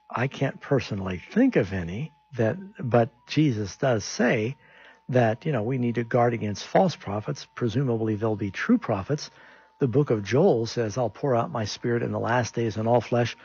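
A man's voice: medium pitch, a little mellow, slightly rough, muffled recording. A man who hosts a radio call-in show as a pastor, answering Bible questions in a conversational, explanatory way.

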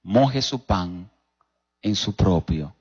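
A man with a Dominican accent preaching a sermon as a pastor, telling stories emphatically with rhetorical questions and dramatic pauses. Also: deep voice, expressive, husky. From Dominican Republic. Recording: dull recording in a reverberant hall.

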